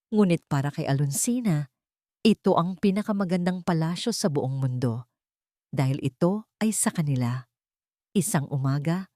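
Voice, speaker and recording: A woman's voice: on the low side, dark tone, no room sound, studio quality. A woman with a Filipino accent giving a scripted, dramatic narration of a story.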